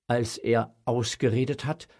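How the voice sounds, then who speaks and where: A man narrating a scripted German children's audio story with a slightly husky voice. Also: medium pitch, steady tone, unhurried, darkish tone.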